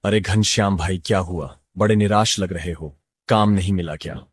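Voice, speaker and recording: A man's voice: low voice, normal speed, husky, no room sound, studio quality. A man voicing an anxious, sympathetic villager in a cartoon story, with a concerned, questioning manner. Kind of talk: cartoon moral story dialogue.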